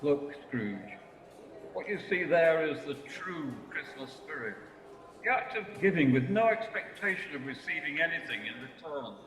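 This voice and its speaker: A man playing a solemn, reproving Christmas spirit in an amateur radio play, measured and theatrical. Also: on the high side, expressive, fairly bright tone. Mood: earnest. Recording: echoey, reverberant, slightly noisy recording.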